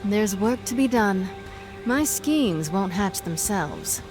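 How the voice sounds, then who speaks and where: A self-assured pirate captain from a video game, with a medium-pitched voice. She is wry, brisk and always scheming, heard over clear music. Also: animated. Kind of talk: dramatic video game acting.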